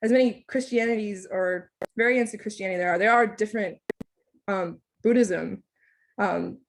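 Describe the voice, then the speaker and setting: A woman's voice: medium pitch, normal speed, vocal fry. A woman in her twenties who grew up speaking Thai, giving a casual, candid talk in a lecture series with frequent ums and likes. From United States. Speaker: staff researcher giving a lecture.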